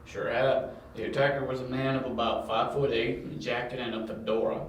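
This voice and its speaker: A blunt, world-weary policeman, acted by a man, talks in a flat monotone. The amateur audio drama catches him far from the mic in a very echoey room. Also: mid-range voice, fairly quick, a little hiss or hum, lightly acted. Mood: matter-of-fact.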